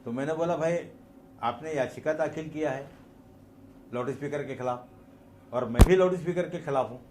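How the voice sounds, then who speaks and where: Man, Mumbai Hindi accent, fairly high voice, emphatic, repetitive, rhetorical questions, religious talk, noisy recording. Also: moderate pace, roomy sound. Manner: emphatic, repeats phrases and asks rhetorical questions. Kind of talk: extemporaneous religious talk with personal storytelling.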